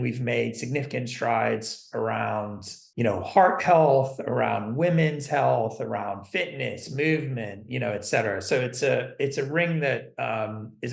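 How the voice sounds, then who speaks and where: An American-accented man with a creaky, fry-edged voice talks in a conversational interview style. His pitch is mid-range for a man. The room adds noticeable echo around his words. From London, England. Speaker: podcast guest, company CFO.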